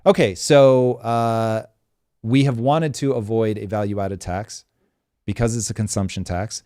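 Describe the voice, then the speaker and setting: A man's voice: lowish voice, fairly even intonation, measured pace, dark tone, no echo, clean studio sound. A man hosts a live show and talks animatedly, explaining things at length with examples. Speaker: show host.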